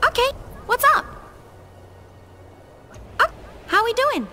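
Woman playing a very high-pitched perky game companion. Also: very animated, slow pace, full character voice. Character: perky young female companion in fantasy game. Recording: clear background noise.

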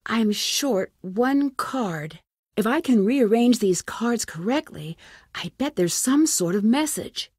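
A woman's voice: fairly high pitch, animated, slow pace, a little mellow, slightly rough, no room sound, exaggerated voice. Inquisitive young female sleuth for an adventure game: earnest, plucky musing to herself, close dry sound. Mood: thoughtful. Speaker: lead character in a detective adventure game. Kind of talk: scripted game dialogue, thinking aloud.

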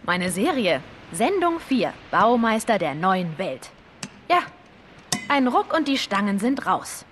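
A woman's voice: mid-range voice, very animated, slow, fairly bright tone, slight background noise. An actress playing a plucky, teasing young female adventurer in a cinematic video game. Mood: teasing, confident. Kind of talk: cinematic video game voice acting, German dub.

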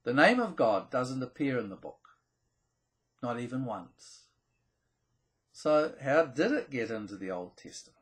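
A man presents a scripted explainer to camera, with deliberate, emphatic phrasing, dramatic pauses and a slightly husky voice. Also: medium pitch, big pitch swings. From New Zealand. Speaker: presenter of a theology video channel.

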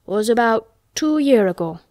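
A woman's voice: a little high, expressive, a little mellow, no echo, lightly acted. Woman voicing a crabbed old Yorkshire gardener man. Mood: dry. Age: older. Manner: dry, slow, gruffly obstinate.

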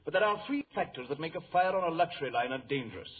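A man plays a salty, blustering ship's captain in an old radio play. His fairly high voice swings emphatically through the lines, over a thin, band-limited recording with faint noise. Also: expressive, racing, narrow, tinny audio, natural voice. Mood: blustering, grave. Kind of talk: old-time radio drama acting.

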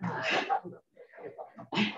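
Soft-spoken young woman reciting rhymed verse. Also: a crowd in the background. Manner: rhythmic, measured, soft. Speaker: poet reading. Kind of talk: poetry reading. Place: large room with seated audience. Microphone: video call, off to the side. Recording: distant muffled echoey room audio.

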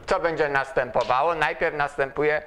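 An older man lecturing at a chalkboard in a large hall, pausing often to ask students questions as he writes. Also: on the high side, a bit bright, slight background noise. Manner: slow, asks students questions while writing on the board. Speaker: university lecturer.

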